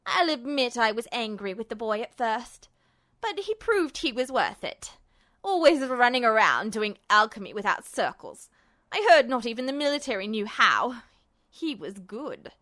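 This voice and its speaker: A woman's voice: a little high, very animated, normal speed, bright, forceful tone. A woman voicing a bitter, unhinged male villain, smug and sneering, in an audiobook story. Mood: cold wonder. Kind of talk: audiobook-style character acting.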